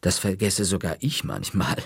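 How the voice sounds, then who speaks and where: A man's voice: deep voice, moderate pace, husky, no echo, heavily acted. A man voicing a stern, bony older female librarian with a dry, curt, faintly menacing way of talking.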